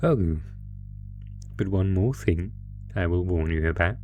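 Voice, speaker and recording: A man voicing a sinister, sadistic monster from under the bed in a horror roleplay, taunting his prey in a deliberate, mock-genteel, theatrical way. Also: low voice, a little mellow, a little hiss or hum. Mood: ominous, amused. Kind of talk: horror roleplay audio, slow theatrical menace. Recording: music under the voice. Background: music.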